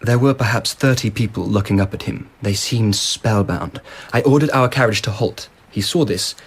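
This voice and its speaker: An actor playing a curious, indulgent emperor who tells stories with relish. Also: male voice, on the low side, moderate pace, slightly rough. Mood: enthusiastic. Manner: expansive, enthusiastic anecdote-telling. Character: curious, indulgent male emperor of old Japan. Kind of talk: BBC radio drama acting.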